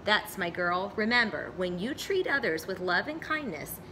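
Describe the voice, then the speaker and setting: A caring, wise storybook papa, read out by a woman, talks gently and reassuringly. The voice is bright and clear in its intent to comfort. Faint noise is audible behind it. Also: mid-range voice, very animated, normal speed. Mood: proud.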